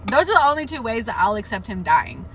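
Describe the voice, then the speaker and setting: Young woman rambling about books on a live, bright edgy voice going a bit hoarse, lots of "like", faint hiss behind. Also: on the high side, very animated, fast, low-quality recording. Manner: chatty, frank, swears freely, many "like" fillers. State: hoarse, losing her voice. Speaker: book livestreamer. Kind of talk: casual live-stream book chat.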